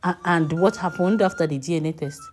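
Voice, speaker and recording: A woman voicing a wronged younger wife in a storybook tale, proud and guarded, speaking in a steady, cold, firm manner. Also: lowish voice, fairly quick, slight background noise, natural voice. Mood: shocked.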